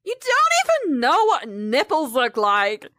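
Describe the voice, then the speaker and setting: A woman playing a sharp-tongued female lieutenant with a high, lively voice who cuts her boss down with dry sarcasm. Her voice is close and dry, with faint music underneath. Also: a little slow, no echo, exaggerated voice. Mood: mocking. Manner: sarcastic, scolding, deadpan. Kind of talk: VRChat comedy roleplay.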